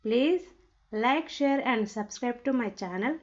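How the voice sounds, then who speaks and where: A woman's voice: on the high side, animated, takes it slowly, a little mellow. A woman presenting a channel in a scripted intro and outro style, on a lo-fi recording. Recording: dull lo-fi voice recording.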